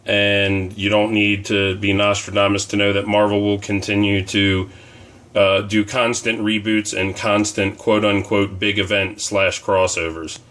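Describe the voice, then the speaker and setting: A man with a slightly low voice, hoarse from fighting off a cold. He chats loosely to camera in a casual vlog, wandering through his thoughts with plenty of ums and uhs. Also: little pitch movement, unhurried, a bit bright. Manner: rambling, conversational, frequent ums and uhs. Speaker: comic collecting vlogger.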